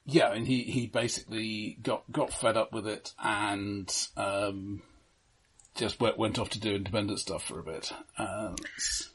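A man co-hosting a casual film discussion, speaking haltingly and often stammering over repeated words. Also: lowish voice, fairly even intonation, a bit bright, breathy edge, low-quality recording. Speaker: podcast co-host.

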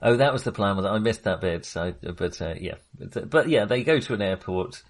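A man with a fairly low voice, chatting as a co-host on a film podcast. He talks in a casual, hesitant way, feeling his way through his points as he goes. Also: animated, fairly quick.

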